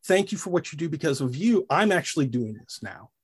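A middle-aged man chats about his work as a voice actor. His voice is a little husky and sits a touch high, and his pitch jumps around a lot as he talks with animated energy. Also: a little fast. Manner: animated, demonstrates character voices mid-sentence. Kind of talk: casual interview chat.

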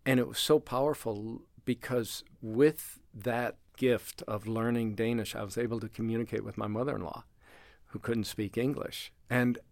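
A man's voice: mid-range voice, normal speed, gravelly, dry recording. An older man who directs a language center, telling stories in a casual interview, acting out dialogue and laughing often. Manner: animated storyteller, acts out dialogue, laughs often.

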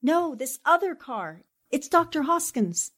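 High-pitched, animated plucky newspaperwoman played by an actress. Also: a little slow, full character voice. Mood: urgent, concerned. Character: plucky, quick-witted newspaperwoman investigating a crime. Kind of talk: 1940s radio drama acting.

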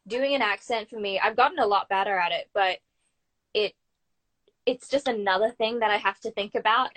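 Young woman, Australian accent, mid-pitched voice, casual interview guest, informal storytelling. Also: a bit bright, rough recording. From Brisbane, Australia. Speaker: guest, actor and acting student.

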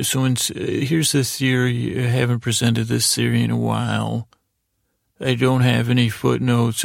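A man hosting a sleep podcast, rambling softly and hesitantly through a lulling bedtime monologue, often repeating himself and trailing off. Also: mid-range voice, gravelly, no room sound, studio quality. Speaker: podcast host.

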